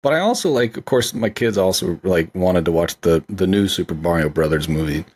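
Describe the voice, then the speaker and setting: A man's voice: deep voice, expressive, brisk, darkish tone, husky. A man chatting on a podcast about movies, enthusiastic and rambling with lots of "like.". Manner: enthusiastic, rambling, many fillers like "like". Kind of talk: casual movie discussion.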